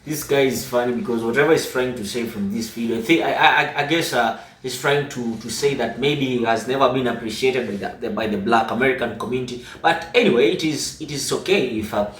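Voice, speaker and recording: A man hosting a reaction commentary channel, speaking in an earnest, measured and slightly hesitant way. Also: mid-range voice, a bit bright, roomy sound. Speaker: channel host.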